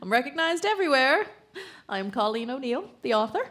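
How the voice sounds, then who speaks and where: A woman's voice: high-pitched, very animated, a little fast, fairly bright tone. A woman playing a vain, bossy romance author and nagging wife in a live radio drama, theatrical and self-important. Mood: coy, flattered.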